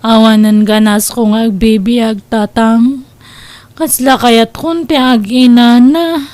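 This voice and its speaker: An actress playing a despairing, weary mother deep in debt, complaining plaintively in a radio-style comedy drama. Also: fairly even intonation, slow pace, a little mellow, no echo. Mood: weary, self-pitying.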